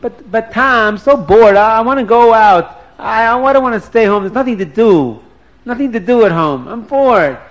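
A man's voice: sits high, animated, moderate pace, dull audio, pitched above the performer's own voice. A man voicing a bored, restless young woman, whiny and insistent, in a told story. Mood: bored whining.

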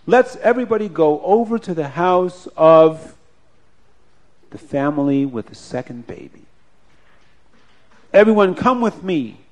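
A man's voice: a little high, expressive, a little slow, long pauses, darkish tone. A man doing an indignant, respected rabbi. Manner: insistent, rallying the crowd.

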